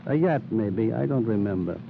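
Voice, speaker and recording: A tough, cagey mobster on a tinny old radio broadcast with faint noise. His voice sits low, and he talks in terse, sardonic lines like a big cool hoodlum in a crime drama. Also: lively intonation, normal speed, creaky. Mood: cagey, defiant. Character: big well-dressed Chicago hoodlum, male, cool and cagey.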